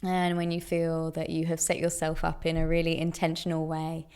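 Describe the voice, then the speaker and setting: Female meditation guide: lowish, gravelly with vocal fry, very even delivery, gentle and deliberate. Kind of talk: guided meditation, soothing instruction.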